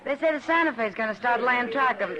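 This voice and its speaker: A woman's voice: on the high side, animated, fairly quick, lightly acted. An actress playing a dry-witted, fond saloon woman who teases in an easy, wry way, in a vintage radio drama. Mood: wry teasing. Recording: dull old radio broadcast, bar crowd behind.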